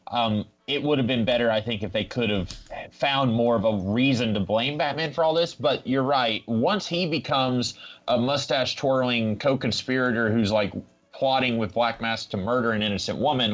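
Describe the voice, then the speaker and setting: A man co-hosting a casual comic book discussion, reasoning things through in a measured, analytical way. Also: mid-range voice. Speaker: podcast co-host. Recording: slightly dull, lo-fi audio.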